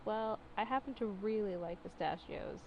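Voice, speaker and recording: A woman's voice: mid-range voice, moderate pace, vocal fry, highs cut off, a little hiss or hum, close to an everyday voice. A woman voicing a mischievous prankster boyfriend in a fan fiction reading, dramatic and declaiming. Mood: smug cheer. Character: mischievous, theatrical prankster boyfriend, a gleeful man.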